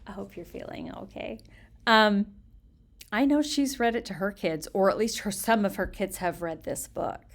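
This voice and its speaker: An older woman talks loosely and fondly, as if to people she knows. Her voice crackles with heavy creak. She sounds short on sleep and a bit punchy, wandering from thought to thought in a chatty, expressive way. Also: medium pitch, normal speed. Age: older, around 60s. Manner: chatty, affectionate, expressive, giggly. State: tired, slightly punchy from little sleep. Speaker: storytelling vlogger. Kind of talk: casual, rambling personal chat to camera.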